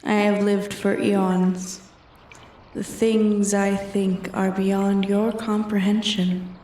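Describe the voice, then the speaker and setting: A woman's voice: mid-range voice, flat delivery, slow pace, dark tone, close to an everyday voice. A woman playing an ancient, arrogant female dragon in a roleplay ASMR, haughty and taunting, often sinking toward a whisper. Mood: haughty, mocking. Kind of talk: roleplay ASMR, scripted dramatic monologue. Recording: echoey, distant from the mic.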